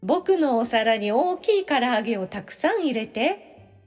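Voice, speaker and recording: An eager, food-loving little boy, voiced by a woman, pleads in a very high voice. The delivery is childlike and scripted like an audio drama, with soft music behind it. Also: sing-song, unhurried, husky, in a large hall, full character voice. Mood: eager, pleading. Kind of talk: scripted language-lesson dialogue.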